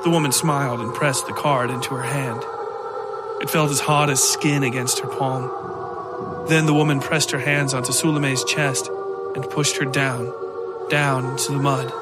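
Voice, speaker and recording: A man reading scripted horror fiction as a narrator, deliberate and dramatic, in short measured phrases. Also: mid-range voice, level tone. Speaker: narrator and producer. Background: loud music.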